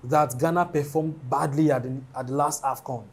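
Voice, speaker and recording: A Nigerian man with a Nigerian accent giving measured, matter-of-fact sports opinions as a co-host. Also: a little high, fairly even intonation, husky. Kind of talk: casual studio sports chat.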